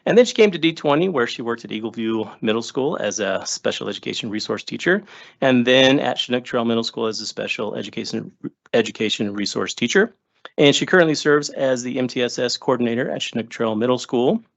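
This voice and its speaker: A man's voice: medium pitch, vocal fry, lo-fi sound. Joking man at a board meeting mic. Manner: joking asides, chatty and relaxed. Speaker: school district administrator introducing new hires. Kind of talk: informal remarks at a public board meeting. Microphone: table microphone.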